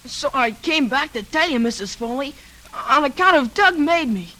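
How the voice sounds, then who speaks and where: High, bright-voiced frightened little boy. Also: animated, normal speed. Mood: frightened, regretful. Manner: naive, plain-spoken, emphatic. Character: frightened, earnest young boy confessing to an adult. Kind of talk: 1940s radio drama acting.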